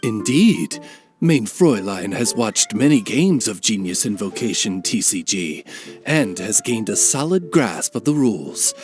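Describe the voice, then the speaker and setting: Courteous animated butler-like male raven. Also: lowish voice, measured pace. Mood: polite, explanatory. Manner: polite, formal, butler-like. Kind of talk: anime video game dub. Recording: music behind the voice.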